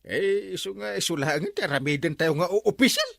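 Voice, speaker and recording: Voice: mid-range voice, animated, a little fast, vocal fry, a bit bright, dry recording, studio quality. A performer playing a jolly, carefree village captain in an Ilocano comedy drama, exclaiming and chuckling. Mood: jolly, boastful.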